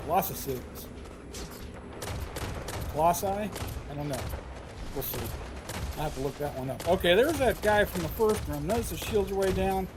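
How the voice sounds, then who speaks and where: A man giving casual commentary over a game walkthrough, his voice a little hoarse and scratchy, thinking aloud with frequent filler pauses. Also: on the high side. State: slightly hoarse, scratchy throat. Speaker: gameplay commentator. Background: game music and gunfire.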